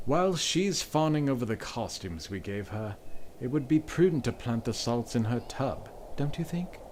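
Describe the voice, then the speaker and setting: A man's voice: mid-range voice, lively intonation, moderate pace, a little mellow, slight background noise, natural voice. Man playing a smug, sarcastic scheming Duke. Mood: coolly scheming. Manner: mock-courteous, sarcastic. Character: smug, lecherous, scheming aristocratic male Duke. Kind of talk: audio drama acting.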